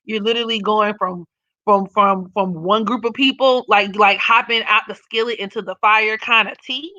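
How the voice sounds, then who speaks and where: A young woman with a raspy voice hosting a casual live stream, reading comments and giving blunt, profane opinions with frequent laughs. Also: medium pitch, fairly even intonation, normal speed, sharp tone, dry recording, highs cut off.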